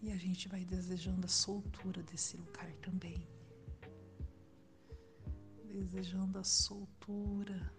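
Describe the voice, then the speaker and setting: A Brazilian woman guiding a relaxation meditation in a soft, soothing way, with long pauses and breathy phrase endings. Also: fairly low pitch, little pitch movement. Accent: Brazilian Portuguese. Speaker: meditation guide. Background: background music.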